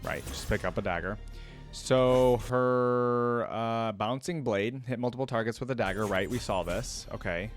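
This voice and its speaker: A man's voice: medium pitch, a little slow, faint music behind. A man hosting a reaction video, commenting casually and informally as he thinks aloud.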